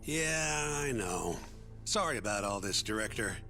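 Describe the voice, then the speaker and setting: An actor playing a cocky, casual partner in a detective game dub. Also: male voice, fairly low pitch, animated, measured pace, over loud music. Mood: placating. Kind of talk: English video game dub acting.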